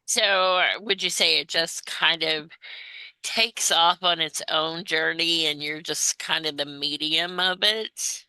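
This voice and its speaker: A woman hosts a conversational interview in a low but strikingly bright, forward voice. The sound is close and dry, and her questioning is informal and attentive. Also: takes it slowly, clean tone, dry recording, rough recording. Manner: informal, attentive, acknowledges answers before asking.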